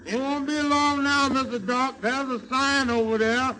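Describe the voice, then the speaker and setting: An actor playing a deferential, cautious truck driver, in 1930s radio caricature style. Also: male voice, very high voice, fairly even intonation, measured pace, pushed and bright, exaggerated voice, poor audio. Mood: wary.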